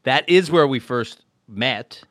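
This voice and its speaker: Halting male podcast host, dry sound. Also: mid-range voice, animated, fairly bright tone, dry recording. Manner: friendly, halting, full of restarts. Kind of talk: casual interview hosting.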